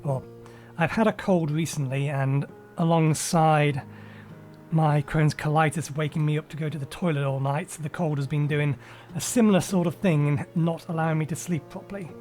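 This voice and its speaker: A man chats his way through a video game session, correcting himself mid-sentence and filling gaps with ums. His voice sits a little high and carries a slight rasp left over from a cold. Quiet music plays faintly behind him. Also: steady tone, normal speed. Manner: rambling, self-correcting with frequent ums. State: recovering from a cold, a little raspy. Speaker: gaming channel host. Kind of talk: casual let's-play commentary.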